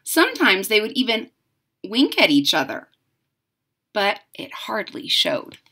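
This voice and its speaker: A woman reads a picture book to children in an expressive way. Her voice is bright and moves widely in pitch. She takes her time, stressing words dramatically and adding chatty asides. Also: breathy edge. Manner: deliberate, dramatic emphasis. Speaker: storyteller reading a picture book aloud. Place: room at home.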